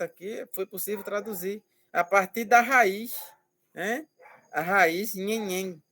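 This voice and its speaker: A male language teacher explaining slowly and patiently over a video call, repeating words and checking that students follow. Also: high voice, a bit bright, no room sound. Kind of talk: online class explanation.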